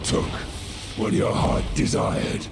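A man voicing an ancient, sinister shadow demon in a video game cinematic, growling with slow, theatrical menace and gloating cunning. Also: very noisy, lightly acted. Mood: gloating, menacing. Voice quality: gravelly, growling. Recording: loud music behind. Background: loud music.

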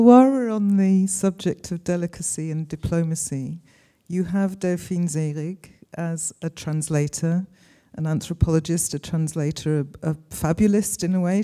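An older woman moderating a film Q&A on a cinema stage, articulate and measured, speaking into a handheld mic. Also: fairly low pitch, mellow. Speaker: interviewer, moderator, professor. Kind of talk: moderating a post-screening Q&A.

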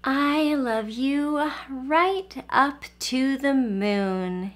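A woman's voice: sits high, drawn-out words. A woman voicing a small, eager young male hare in a storybook read aloud to children. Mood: sleepy.

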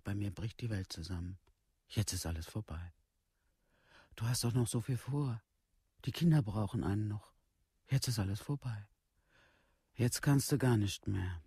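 An actor playing a worried, worn-down village parent in a radio play, in plain, halting colloquial speech. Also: male voice, on the low side, a little mellow, breathy edge, no room sound, clean studio sound, heavily acted. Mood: despairing. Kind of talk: documentary radio play monologue.

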